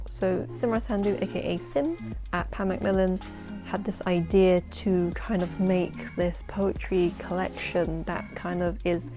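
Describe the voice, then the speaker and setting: A young woman with a London accent and a husky voice, chatting excitedly to camera in a casual vlog about her work as a poet. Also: medium pitch. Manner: chatty, excitable, quick asides and laughs. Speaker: poet and vlogger. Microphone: camera-mounted shotgun mic.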